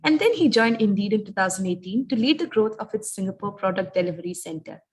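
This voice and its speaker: A woman's voice: mid-range voice, animated, fast, breathy edge. A woman acting as emcee, formally reading out a guest speaker's introduction. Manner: formal, read-out. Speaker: event emcee.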